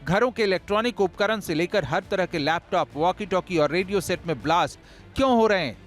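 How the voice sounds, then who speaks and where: Male news narrator, high voice, emphatic and dramatic scripted delivery over background music. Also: brisk.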